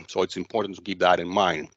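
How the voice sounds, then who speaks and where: A man narrating a software demo walkthrough as its presenter, speaking in a halting, hesitant way with frequent stumbles. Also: low-pitched, level tone, no echo, dull audio.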